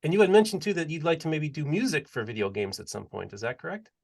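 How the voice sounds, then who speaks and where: A man's voice: on the high side, animated, fast talker, vocal fry. A man hosting a podcast interview, chatty and leading the talk with long, rambling questions. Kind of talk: casual interview chat.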